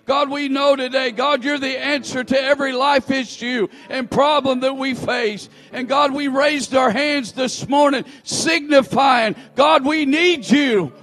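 A man leading a fervent church prayer, opening each plea with "God" and rising to shouts, in a large church sanctuary. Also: extremely high pitch, a little slow, few pauses. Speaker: prayer leader in a church service.

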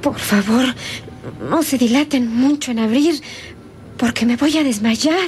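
A woman's voice: a little high, a little slow, darkish tone, natural voice. A woman playing a wounded, desperate young daughter in a melodramatic radio serial, weak and gasping. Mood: fainting, desperate. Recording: old radio serial with music behind.

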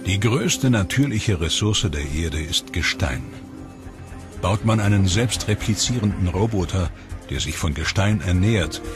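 A low-pitched male voice reads a documentary script at a measured pace. He lets his voice sink on the darker lines. A clear, steady music score runs underneath him. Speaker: documentary narrator.

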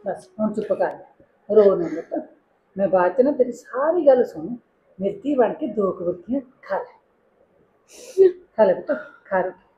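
A woman playing a kindly middle-aged aunty in an emotional drama, coaxing and soothing with gentle insistence. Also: mid-range voice, lively intonation, measured pace, pauses often, lo-fi sound, close to an everyday voice. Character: kindly, motherly older aunty comforting a distressed young woman. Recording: music behind the voice.

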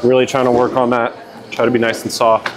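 A young man and bowler talking relaxed through his practice shots and rating them, on a lapel mic in a large indoor bowling alley. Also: medium pitch, quick pace. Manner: relaxed, rates his own shots plainly. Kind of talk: casual instructional talk to camera. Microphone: clip-on lapel mic. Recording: noisy bowling alley behind. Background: bowling alley noise, clicks.

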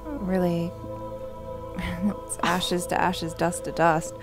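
A woman's voice: fairly low pitch, little pitch movement, slow pace. A young woman giving casual reaction commentary on a video game as a show host. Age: young adult. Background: game music and effects.

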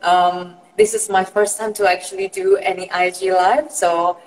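A woman's voice: mid-range voice, level tone, normal speed. Woman from Indonesia on a reverberant phone call. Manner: rambling, earnest, many fillers and restarts. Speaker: guest psychologist. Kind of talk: explaining on a live video call. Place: bright room indoors. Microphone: phone at arm's length.

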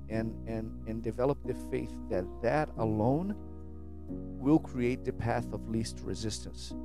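A man in his forties, raised in Brazil, thinks aloud in a home studio, speaking close to a stand microphone with a steady mid pitch. He talks earnestly, restarting phrases and tossing in "right?" as he searches for words. Soft music plays clearly underneath. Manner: earnest, searching, many restarts and repeated "right?", gestures a lot. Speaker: spiritual vlogger. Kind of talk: reflective monologue to camera. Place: home studio with guitars and purple lights. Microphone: studio mic on a stand, headphones.

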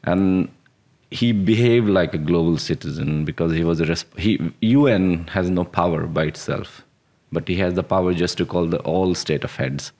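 Low-voiced Indian man, earnest. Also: moderate pace, a little mellow. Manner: earnest, with restarts and short laughs. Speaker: startup founder, guest. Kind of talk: conversational interview answers. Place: small studio room with dark curtains. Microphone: boom-arm podcast mic on the table.

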